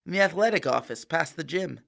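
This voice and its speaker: A man playing a cheeky, easygoing and slightly dim teenage high school boy in an audio drama, with breezy, slangy talk. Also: lively intonation, a little fast, vocal fry, a bit bright, heavily acted. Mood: bemused.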